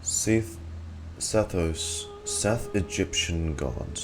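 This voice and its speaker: A man's voice: low-pitched, animated, very slow, over music. A man giving casual live reaction commentary as a game streamer.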